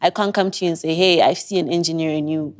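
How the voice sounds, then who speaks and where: A woman's voice: low voice, fairly even intonation, fast talker. A young woman with an American-sounding accent, giving earnest, reflective advice as a guest on a podcast interview.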